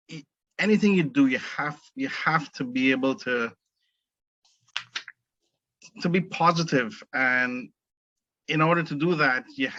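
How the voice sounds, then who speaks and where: A middle-aged man from the Caribbean, a guest in a casual interview, speaking in a measured, earnest way with many fillers and restarts. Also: medium pitch, bright, forceful tone, dry recording, lo-fi sound. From Caribbean, born in Trinidad, raised in Barbados. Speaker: guest, entrepreneur.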